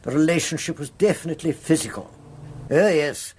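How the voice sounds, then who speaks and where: A man's voice: on the high side, fairly quick, darkish tone, slightly rough. An actor playing an insinuating, earnest English academic man who passes on scandal with gossipy insistence in a radio drama. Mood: gossipy, insistent.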